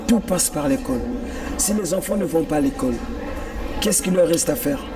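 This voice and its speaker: A man's voice: a little high, animated, moderate pace. A man from the Congo, speaking earnestly as an activist guest in a TV interview and repeating points for emphasis. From Republic of the Congo. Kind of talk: political TV interview. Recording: distant mic with music behind. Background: clear background music.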